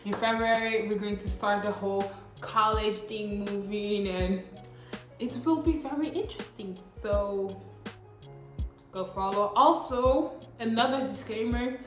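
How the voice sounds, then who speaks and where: Chatty teen woman vlogger, slow and roomy. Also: mid-range voice. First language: not English. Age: late teens. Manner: chatty, upbeat, slang-filled. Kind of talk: casual scripted vlog. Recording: echoey room with faint music.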